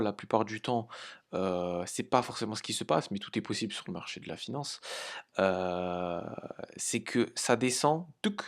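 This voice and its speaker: A man from France hosting a crypto trading channel, talking casually with many hesitations and light laughs. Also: fairly low pitch. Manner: halting, many fillers, informal swearing. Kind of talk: casual market analysis.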